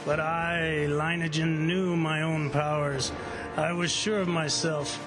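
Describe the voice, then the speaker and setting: Male actor as stubborn plantation owner, raised pitch, calm self-assurance, declamatory radio drama style, music underneath. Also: natural voice, rough recording. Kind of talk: radio drama acting and first-person narration.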